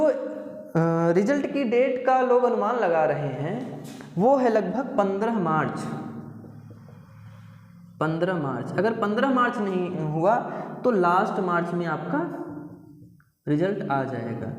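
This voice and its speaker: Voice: mid-range voice, darkish tone. An exam coaching teacher from explains things informally to candidates, repeating points and often checking in with tag words. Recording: echoey, reverberant room.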